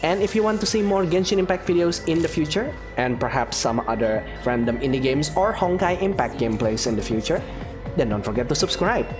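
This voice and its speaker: A man gives casual commentary as host of a gaming video. His delivery is chatty and informal, with pitch that moves around a great deal, over soft background music. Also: lively intonation, brisk.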